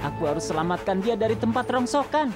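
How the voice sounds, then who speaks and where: Lady doing a keen young boy train in a kids cartoon, highish voice, earnest and determined, music behind. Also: expressive, quick pace, close to an everyday voice. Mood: hopeful, determined. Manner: eager, determined, polite. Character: earnest, hardworking young male steam engine. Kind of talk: children's cartoon dub.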